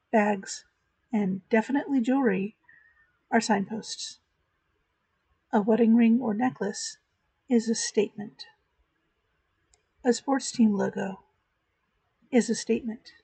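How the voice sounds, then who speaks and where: Woman leading a slow spoken meditation, husky gravelly voice, mellow. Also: on the high side, dry recording, low-quality recording. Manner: calm, measured, reflective. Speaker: host of a virtual church chat.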